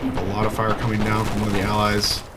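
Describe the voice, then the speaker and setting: A man giving live play-by-play commentary on an online shooter match, getting excited at kills and stumbling over player names. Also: fairly low pitch, fast, very noisy. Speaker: esports shoutcaster. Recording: loud game music and gunfire behind.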